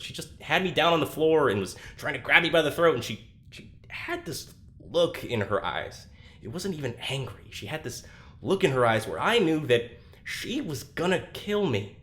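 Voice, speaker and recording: An actor playing a troubled, anxious young man in a confessional audio drama, speaking haltingly with self-deprecating, dry sarcasm. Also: medium pitch, fairly bright tone. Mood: agitated, shaken.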